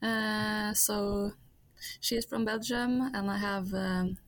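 A woman from Norway, a guest in a casual interview, answering in halting English as she searches for words. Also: medium pitch, steady tone, no echo.

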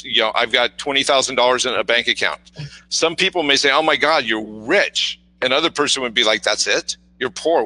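A man's voice: mid-range voice, sharp tone, low-quality recording. A man with an authoritative voice, talking as a podcast guest in an emphatic, conversational, explanatory way. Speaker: podcast guest on mindset and communication.

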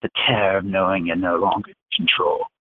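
A man's voice: deep voice, flat delivery, brisk, a little hiss or hum, lightly acted. A man voicing a cruel telepathic male crime boss, speaking in a mocking, commanding way. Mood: menacing. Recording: tinny phone line.

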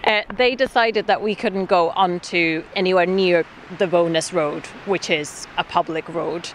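A woman's voice: fairly low pitch, measured pace. A woman organiser explaining a protest in an earnest way, with many ums and restarts, interviewed outdoors. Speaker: activist and camp organiser. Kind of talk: interview answers. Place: outdoors at a camp. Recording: faint outdoor noise.

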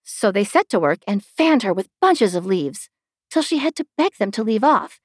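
A woman's voice: mid-range voice, sing-song, normal speed, slightly rough, no room sound, studio quality. A woman giving a scripted narration of a storybook.

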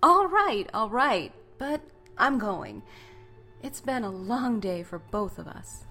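Woman playing a lively, fussing protective mom. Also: on the high side, slow, soft background music, heavily acted. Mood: fond, concerned. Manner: fussing, affectionate, blunt. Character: protective, teasing mother of a blind college student. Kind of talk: audio drama acting.

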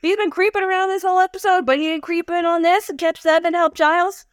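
A woman's voice: very high-pitched, fairly even intonation, quick pace, no room sound, studio quality. A woman co-hosting a podcast, chatting casually and animatedly as she recaps a TV episode, laughing often. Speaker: podcast co-host.

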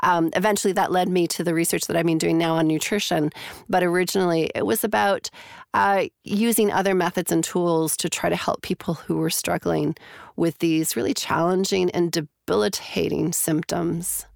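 Middle-aged Canadian woman, lowish gravelly voice, thoughtful academic answering questions. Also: steady tone, moderate pace, no room sound, clean studio sound. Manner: thoughtful, many fillers and restarts. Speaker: psychology professor and guest. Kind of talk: interview answers.

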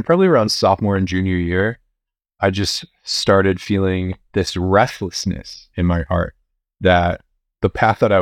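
A young man, a priest, telling his story in a relaxed interview, with fillers and restarts. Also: low voice, dry recording, studio quality. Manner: relaxed, many fillers and restarts, occasional laughs. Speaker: priest and university chaplain.

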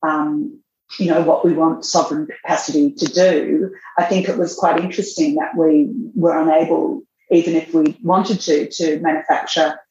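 A woman, a magazine editor answering interview questions in a measured way, thinking aloud as she goes. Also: low-pitched, little pitch movement, a little mellow, a little hiss or hum. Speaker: fashion magazine editor, guest. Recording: roomy, dull lo-fi sound.

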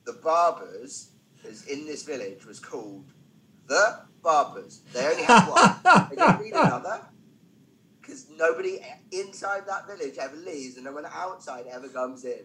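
A man's voice: on the high side, very animated, normal speed, pushed and bright, rough recording. A man speaking as a podcast guest, a stand-up comedian telling anecdotes conversationally with self-deprecating, wry asides.

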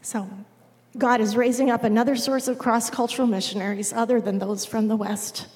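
A woman giving an unhurried missionary report to a congregation, pausing often with "um". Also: fairly high pitch, steady tone, darkish tone. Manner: unhurried, with frequent "um" pauses. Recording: long reverb of a large hall.

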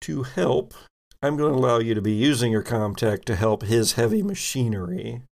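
A man narrating a tabletop sci-fi game as game master, explaining in a halting way. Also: medium pitch, expressive, vocal fry, darkish tone.

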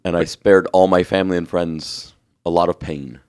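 A hoarse man with a raspy voice, co-hosting a podcast in earnest, reflective casual chat. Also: deep voice, steady tone, normal speed, darkish tone. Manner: earnest, reflective, restarts often. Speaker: podcast co-host.

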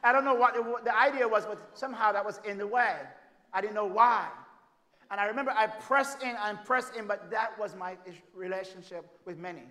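A man with a Jamaican accent preaching a sermon, moving between loud, emphatic declarations and soft, hushed storytelling. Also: high-pitched, fairly even intonation, fairly quick. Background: congregation calling out amens.

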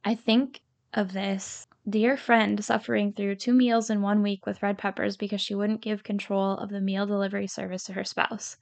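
A woman's voice: mid-range voice, little pitch movement, moderate pace, vocal fry, a little mellow. A woman in her thirties hosting a podcast, tired and a little scratchy, talking sincerely and encouragingly straight to her listeners. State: tired from lack of sleep. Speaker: podcast host. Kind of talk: podcast monologue.

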